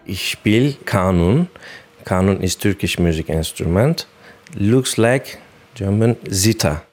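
Deep, slow-spoken man from Turkey. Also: lively intonation, a little mellow, soft background music. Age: 30s. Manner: halting, simple non-native phrasing. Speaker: kanun player.

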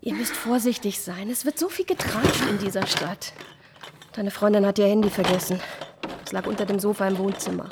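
A woman's voice: medium pitch, brisk, very noisy, close to an everyday voice. An actress playing a nagging, reproachful woman of the household in a naturalistic radio drama, with a chiding manner. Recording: loud clinking dishes behind the voice. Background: clatter of dishes and cutlery.

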